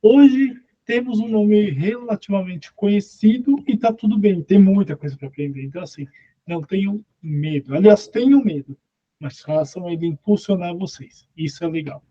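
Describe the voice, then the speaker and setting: A man's voice: high-pitched, normal speed, dark tone. A man hosting an online interview, closing it in an upbeat, motivational way. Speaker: host. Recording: muffled lo-fi sound.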